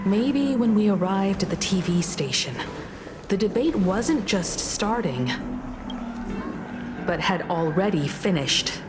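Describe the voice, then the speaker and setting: A woman's voice: mid-range voice, animated, slow, long pauses, background noise. An actress playing an alert, level-headed female adventurer in dramatic video game dialogue. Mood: urgent, wary.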